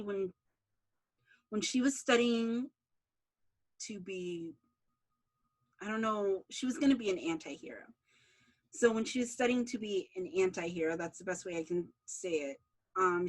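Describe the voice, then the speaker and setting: Slow-paced woman in a casual interview. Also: mid-range voice, poor audio. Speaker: series creator being interviewed.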